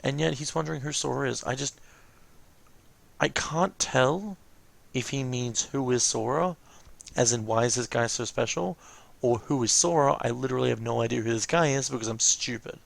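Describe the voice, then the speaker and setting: A man with a creaky voice giving casual, rambling, hesitant reaction commentary as host of a watch-along. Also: mid-range voice, moderate pace.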